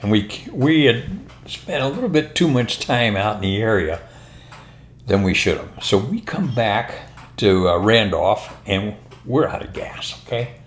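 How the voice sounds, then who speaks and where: An older American man, a former pilot, telling anecdotes in a casual, unhurried, rambling conversational way. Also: on the low side, expressive, a little hiss or hum. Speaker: retired military and airline pilot telling stories. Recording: roomy, echoing room sound.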